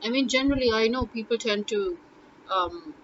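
A woman's voice: a little high, normal speed. Woman, Urdu teacher, casual rambling talk, lots of ums, slightly muffled, faint hiss. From Pakistan. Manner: rambling, many ums and uhs, thinking aloud. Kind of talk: casual spoken blog monologue.

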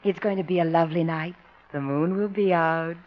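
A woman's voice: lowish voice, steady tone, fairly quick, lightly acted. An actress playing a sweet, adoring but possessive girlfriend in a 1950s radio comedy, dreamy and coy. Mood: dreamy, affectionate. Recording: dull, faintly noisy vintage radio recording.

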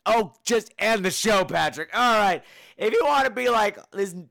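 Man, podcast host, very bright forceful voice, crisp and clear, campy casual comedy, sassy mock-indignant delivery. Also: high voice, animated, moderate pace, dry recording.

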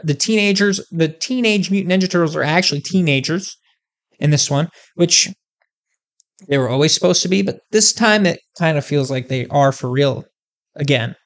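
Profane, ranting video host. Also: medium pitch. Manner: profane, emphatic, animated ranting. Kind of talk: comedic rant to camera.

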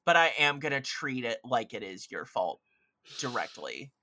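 A man's voice: medium pitch, big pitch swings, moderate pace, pushed and bright. A man chatting casually about films as a podcast guest, opinionated, emphatic and effusive, with rambling asides. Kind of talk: casual film chat.

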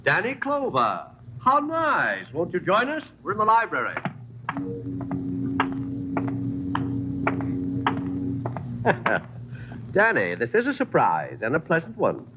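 Suave, swooping high-class villain. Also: male voice, wildly swooping pitch, normal speed. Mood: mock welcome. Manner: affected, purring, condescending politeness. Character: suave, gloating high-class crime boss, mockingly polite. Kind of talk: 1950s radio drama acting. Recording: muffled old radio recording, faint noise.

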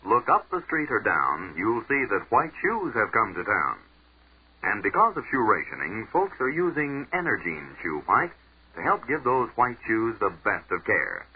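A man's voice: fairly low pitch, big pitch swings, normal speed, husky, phone-quality sound. A man reading a rhythmic radio ad and network sign-off in a 1940s broadcast. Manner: rhythmic, sing-song delivery. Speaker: network announcer.

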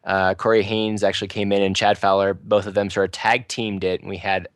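A man's voice: low-pitched, flat delivery, brisk, dry recording. Chatty man with a dry close sound. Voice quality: fairly loud voice. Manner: loose, chatty, many restarts and fillers. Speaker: local Ruby user group organizer. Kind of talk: casual podcast panel talk.

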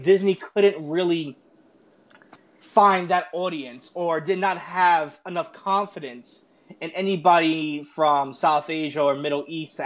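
A podcast host giving a casual film review as an emphatic, repetitive rant peppered with swearing. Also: mid-range voice.

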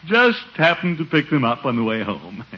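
An actor playing a pompous, blustering middle-aged uncle with a booming, chuckling manner in a 1950s radio comedy. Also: male voice, wildly swooping pitch, moderate pace, pushed and bright, full character voice. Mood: hearty, scheming. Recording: dull, noisy 1950s radio recording.

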